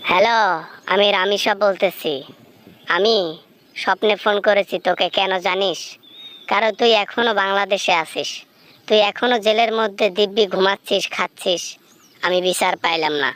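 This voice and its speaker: A woman's voice: medium pitch, moderate pace, long pauses, fairly bright tone, natural voice. A woman playing a wronged ghost demanding justice in an amateur phone-call skit, solemn, reproachful and threatening. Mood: accusing.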